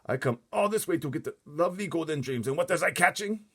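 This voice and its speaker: A man voicing a huge, excitable giant in a storybook, kindly but easily agitated, with muddled folksy grammar and theatrical exclamations. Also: on the high side, fast, slightly rough, pitched above the performer's own voice. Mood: anguished, furious.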